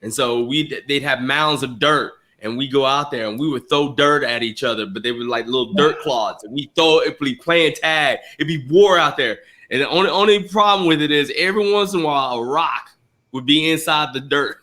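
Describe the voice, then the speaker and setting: Bright-voiced man from Arkansas telling stories. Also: fairly high pitch, normal speed. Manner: lively, storytelling, friendly ribbing. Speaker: podcast co-host. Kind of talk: casual podcast banter.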